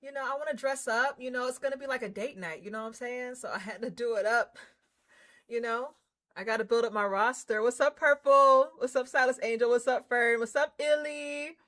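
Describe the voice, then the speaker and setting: A woman livestreamer chatting casually with her viewers in an excitable, slangy, informal way. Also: on the high side, a little fast. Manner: excitable, hyped, effusive; slangy, informal, drops swearing in. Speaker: livestreamer hosting a game stream.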